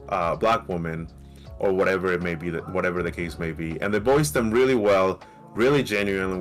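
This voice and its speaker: A low-pitched man with a light Mexican accent makes his case on a casual gaming podcast. He talks in an animated way, restarting his sentences and tagging them with "right?". Soft music plays faintly behind him. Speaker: podcast co-host. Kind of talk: casual debate.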